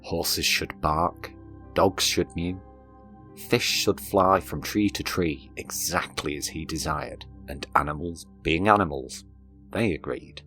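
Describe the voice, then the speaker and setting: Male narrator, extremely low voice, measured fairy-tale reading with music underneath. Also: pushed and bright. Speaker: storyteller, narrator. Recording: narration over background music.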